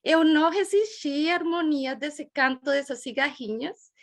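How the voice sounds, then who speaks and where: A Brazilian woman hosting a group gathering as mediator, speaking in a gentle, contemplative and affectionate way. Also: high voice, normal speed. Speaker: event mediator and host. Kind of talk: heartfelt opening of a group gathering.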